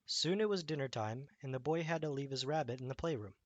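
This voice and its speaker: A man reading a children's bedtime story aloud as an expressive storyteller. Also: medium pitch, fast talker, dry recording, lo-fi sound.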